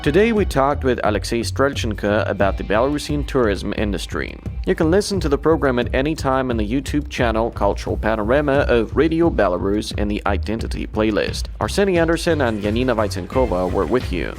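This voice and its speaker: A man co-hosting a radio program, reading interview answers aloud in a measured, earnest way. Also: medium pitch, very animated, soft background music. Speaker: radio co-host reading the guest's answers in English. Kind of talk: read-aloud interview voice-over.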